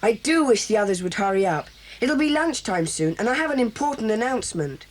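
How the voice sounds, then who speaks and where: A woman voicing a bossy young schoolboy who leads a children's club in an 1980s audio drama, lively and brisk. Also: on the high side. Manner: brisk, bossy, takes charge.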